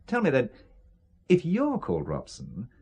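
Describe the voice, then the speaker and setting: A man's voice: mid-range voice, wildly swooping pitch, measured pace, darkish tone, breathy edge, exaggerated voice. A man with a British accent playing a wary, sardonic businessman caught up in espionage, dry and questioning in an audio drama. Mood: sardonic, suspicious. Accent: British English.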